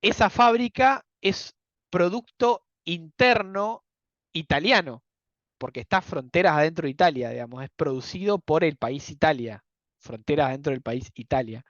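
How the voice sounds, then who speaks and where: A man's voice: a little high, normal speed, fairly bright tone, dry recording, dull audio. Argentine man lecturing with filler words. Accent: Argentine Spanish. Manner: explanatory, many fillers, checks understanding. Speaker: economics lecturer. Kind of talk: university lecture.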